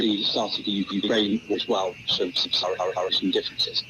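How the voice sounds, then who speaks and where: A man giving a radio news interview as a business guest, speaking hesitantly and repeating words. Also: fairly low pitch. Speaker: haulage operations manager, interview guest. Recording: muffled shortwave radio with static.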